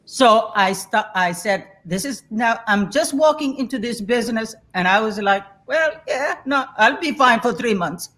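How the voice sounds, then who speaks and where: A woman's voice: a little high, lively intonation, moderate pace, hardly any pauses, a bit bright. A woman in her sixties from Somalia, a guest telling her story candidly and laughing easily. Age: 60s. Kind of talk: reflective interview conversation.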